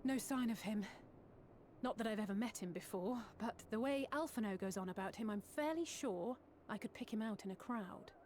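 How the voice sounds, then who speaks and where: A woman playing a brisk, candid young adventurer in a video game, dry and matter-of-fact. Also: medium pitch, expressive, slight background noise, natural voice. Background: soft game music.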